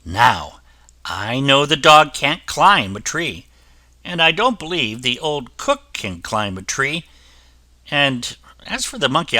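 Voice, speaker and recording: A man's voice: medium pitch, bright, forceful tone, no room sound, heavily acted. A man voicing a small, cheeky gingerbread man who thinks aloud, pleased with himself, in a storybook reading. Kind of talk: storybook character voice.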